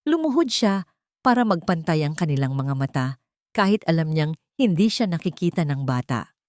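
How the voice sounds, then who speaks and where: A woman narrating a scripted dramatic story in measured short phrases, pausing for effect. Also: deep voice, very animated, darkish tone, dry recording, clean studio sound.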